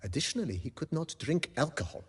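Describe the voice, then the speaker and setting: An actor playing a formal, refined majordomo of a wine estate in a video game, deferential and given to telling tales with flourish. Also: male voice, fairly high pitch, big pitch swings, fast, husky, exaggerated voice. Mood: storytelling relish. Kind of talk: video game character acting.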